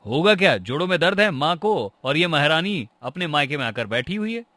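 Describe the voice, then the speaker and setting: A man's voice: mid-range voice, lively intonation, brisk, sharp tone. A man playing an angry young husband who scolds and accuses sarcastically in a family story. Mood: angry, complaining.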